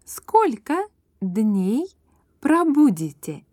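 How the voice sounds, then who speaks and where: Slow, lilting woman reading phrases. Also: fairly high pitch, sing-song, mellow, no echo, clean studio sound. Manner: deliberate, each word spoken separately, then repeated whole. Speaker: language lesson speaker.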